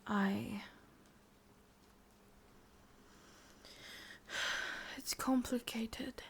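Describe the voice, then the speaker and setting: A woman with an English accent playing an ancient, lovelorn witch in an ASMR roleplay, speaking intimately in soft, breathy whispers with musing pauses. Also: fairly high pitch, fairly even intonation, heavily acted. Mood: wistful, sorrowful.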